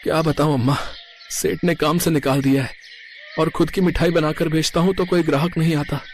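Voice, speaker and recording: A man playing an earnest, humble, plain-spoken villager in an animated moral story. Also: a little high, fairly quick, slight background noise, close to an everyday voice. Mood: downcast. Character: earnest, humble village sweet seller or helper.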